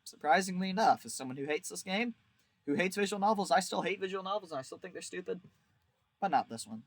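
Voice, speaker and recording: A man's voice: high-pitched, expressive, quick pace, no echo. A man giving a casual, rambling video review as host, with a crude, jokey, exaggerated way of talking.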